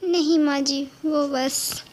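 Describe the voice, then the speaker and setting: Woman playing a high-voiced timid young daughter-in-law. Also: steady tone, slow pace, background noise. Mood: nervous. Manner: meek, apologetic. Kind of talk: cartoon moral story dialogue.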